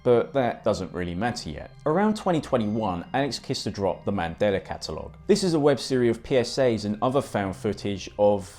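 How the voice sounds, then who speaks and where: A man's voice: lowish voice, sing-song, a little fast, few pauses, a little mellow. A man narrating a scripted video essay in an informal, explanatory manner with casual asides. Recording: music behind the voice. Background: music.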